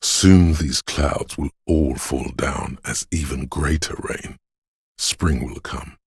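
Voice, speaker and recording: A man voicing a brooding, omniscient inner voice of a city in a video game, narrating gravely and poetically in a measured, husky, gravelly voice. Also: a bass voice, no echo, heavily acted. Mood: brooding, solemn. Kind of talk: slow literary second-person game narration.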